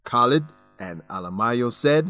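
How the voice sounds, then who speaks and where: A man narrating a scripted English vocabulary lesson as the teacher, with deliberate instructional phrasing. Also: medium pitch, animated, pushed and bright, no room sound. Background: music.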